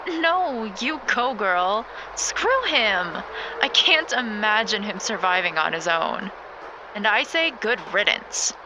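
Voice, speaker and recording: A woman playing a blunt, cheeky, loyal best friend in a naturalistic audio drama, teasing and expressive. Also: mid-range voice, slow pace, noisy background.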